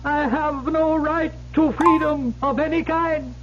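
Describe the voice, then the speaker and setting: A bottle imp, bound servant of the devil, speaking in a very high, drawn-out voice. The voice drags each word slowly. It comes through a muffled old radio recording with faint hiss. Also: male voice, fairly even intonation, a bit bright, exaggerated voice. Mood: eerie servile. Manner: slow, high, unearthly servility. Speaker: actor in radio drama. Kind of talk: 1970s radio drama acting.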